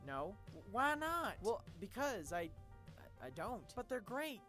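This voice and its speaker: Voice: mid-range voice, sing-song, takes it slowly, sharp tone, exaggerated voice. A performer voicing awkward, chatty, easily exasperated ponies in an abridged parody cartoon. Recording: cartoon audio with music, under commentary.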